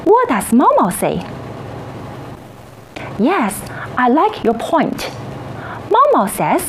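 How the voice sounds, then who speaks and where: A woman teaching a children's English lesson, encouraging and praising in slow, sing-song teacher talk. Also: high-pitched, huge pitch swings, slight background noise, poor audio.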